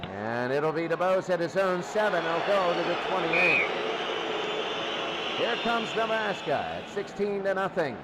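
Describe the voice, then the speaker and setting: High-pitched male football announcer over loud noise. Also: a little slow. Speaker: play-by-play sports announcer. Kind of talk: American football radio commentary. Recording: loud steady noise behind the voice.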